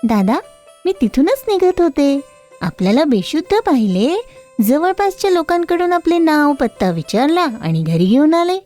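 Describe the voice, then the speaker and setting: A woman's voice: high voice, big pitch swings, normal speed, warm tone, faint music behind, voice pushed up. A woman voicing a kind, helpful little temple girl in a storybook, polite and sweet. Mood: earnest. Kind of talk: storybook character voice.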